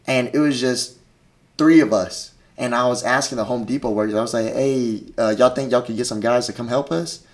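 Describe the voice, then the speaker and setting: A man's voice: medium pitch, a little fast. A man, not an English speaker, hosting a casual chat in slangy, profane speech. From Mississippi, United States. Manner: slangy, profane, says "bro" often. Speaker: podcast host.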